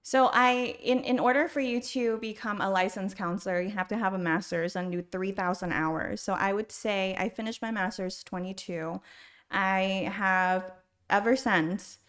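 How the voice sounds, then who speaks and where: A middle-aged woman with an American accent, answering interview questions conversationally as a podcast guest. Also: mid-range voice. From Thailand, then the United States from age six. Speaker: podcast guest, school university counselor.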